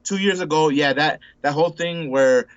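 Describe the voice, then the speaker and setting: A man's voice: fairly high pitch, normal speed, no echo. Rambling man in his forties, dry lo-fi sound. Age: 40s. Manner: rambling, repeats words and restarts often; laid-back, says bro and dude a lot. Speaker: interview guest. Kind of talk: casual conversation.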